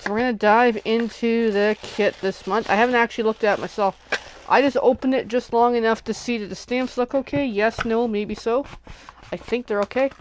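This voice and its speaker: A woman's voice: a little high, a little mellow, slight background noise, poor audio. A sniffly woman hosting a casual crafting livestream, chatting and thinking aloud as she unboxes and works at home.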